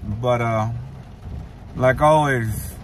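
A man's voice: mid-range voice, drawn-out words, a little hiss or hum. A man in his thirties, a truck driver, talking casually to viewers into a phone held at arm's length while touring outdoors. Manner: relaxed, chatty, addresses viewers as guys. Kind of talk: casual travel vlog. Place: outdoors on a volcano trail and in a truck cab.

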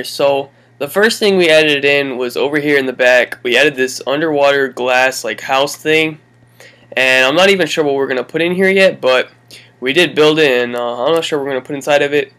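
A man's voice: a little high, a bit bright. A young man hosting his own gaming video, casually walking viewers through builds with a rambling, laid-back delivery full of fillers. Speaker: gaming channel host. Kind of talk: casual game walkthrough commentary.

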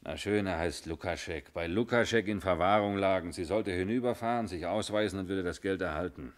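A man's voice: low voice, brisk, lightly acted. An actor playing a guarded, cheeky barman under police questioning in a German radio crime drama, explaining in short bursts. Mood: resigned, confessing.

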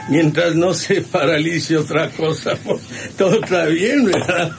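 An actor playing a hardy, cheeky, aging ranch hand with a rural Mexican accent and folksy manner in a radio drama. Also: male voice, a little high, takes it slowly, gravelly. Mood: cheeky, teasing. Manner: folksy, chuckling. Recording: noisy old radio serial recording.

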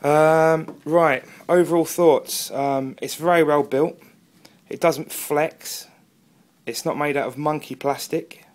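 A man's voice: a little high, steady tone, takes it slowly. A man with an English accent talking casually as a hobbyist reviewer, rambling a little and self-deprecating. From England. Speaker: amateur radio hobbyist and video reviewer. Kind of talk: casual product review and radio chat. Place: indoors at a wooden table, off camera.

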